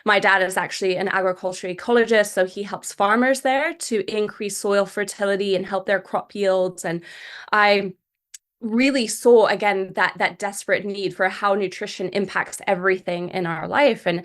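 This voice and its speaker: Middle-aged woman, from Malawi, podcast guest, wellness coach, conversational storytelling, mid-range pitch. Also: steady tone, moderate pace. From grew up in Malawi.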